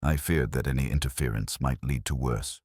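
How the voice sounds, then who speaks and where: A man's voice: a bass voice, fairly quick, a little mellow, slightly rough, no echo, clean studio sound. A man voicing a solemn, burdened clairvoyant with a grave, formal manner in a storybook mystery.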